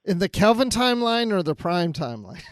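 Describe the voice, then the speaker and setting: A man's voice: high-pitched, big pitch swings, fairly quick, no room sound, studio quality. A man co-hosting a podcast, talking casually and conversationally through a review. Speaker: podcast co-host.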